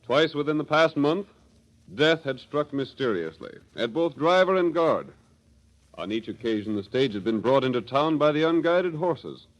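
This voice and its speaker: A man's voice: fairly high pitch, expressive, moderate pace, rough recording. A man with an American accent narrating a scripted 1930s radio western in a dramatic, declamatory manner. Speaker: announcer, narrator. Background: music.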